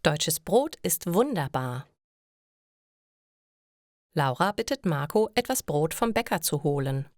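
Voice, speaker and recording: A woman narrating a short scripted story for language learners, reading carefully and clearly. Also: low voice, very animated, takes it slowly, pauses often, darkish tone, dry recording, clean studio sound. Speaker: narrator of German listening lessons.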